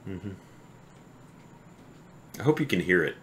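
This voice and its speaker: A man streaming from home, chatting with viewers in a halting way that trails off into quiet mumbles, then reading a poem slowly. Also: deep voice, sing-song, a little hiss or hum. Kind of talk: casual chat with stream viewers; slow, deliberate poetry reading.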